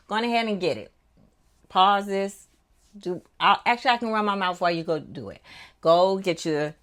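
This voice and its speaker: A middle-aged woman with a naturally deep voice, a little under the weather, chatting casually and folksily to her viewers as a vlog host. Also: a bit bright. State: voice affected by recent illness. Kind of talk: casual chat to viewers about perfume.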